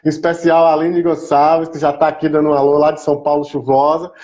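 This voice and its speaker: A man's voice: fairly high pitch, flat delivery, fast, darkish tone. A man with a Brazilian Portuguese accent hosting a live stream in a casual, chatty, welcoming way. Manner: informal and welcoming, greets viewers by name. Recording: dull lo-fi online stream audio.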